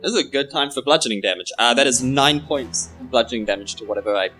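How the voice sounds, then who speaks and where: A man's voice: medium pitch, fast, sharp tone. A man bantering as a player at a live tabletop game, joking and mock-serious. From New Zealand. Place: live on stage at a club. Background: music under the voice.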